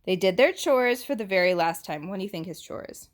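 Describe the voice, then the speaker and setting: A woman reading a picture book aloud to young children in an animated teacherly way, pausing to ask questions and chat about the story. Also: medium pitch. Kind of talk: expressive read-aloud storytelling with asides and questions.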